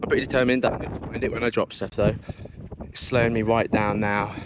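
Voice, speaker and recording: A man's voice: fairly low pitch, fairly even intonation, fast. Casual man in his thirties, noisy recording. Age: early 30s. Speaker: vlogger, amateur long-distance runner. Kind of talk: casual running vlog talk and narration to camera. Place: outdoors on a coastal trail for much of it. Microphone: action camera mic. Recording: outdoor wind and ambient noise.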